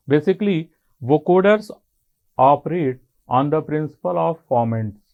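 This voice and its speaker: Phone-like recording, Indian male lecturer. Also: mid-range voice. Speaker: college lecturer. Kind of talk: technical lecture. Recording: muffled, phone-like narrow band.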